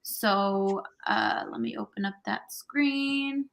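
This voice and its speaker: A woman's voice: mid-range voice, very animated, slow pace, creaky, a bit bright. A woman hosting a live-stream auction interview in an upbeat, casual way. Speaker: host.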